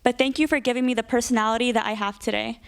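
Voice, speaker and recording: Young female student, higher clear voice, heartfelt prepared speech. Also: fairly even intonation, racing. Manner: heartfelt, addresses her stutter directly. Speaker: student speaker.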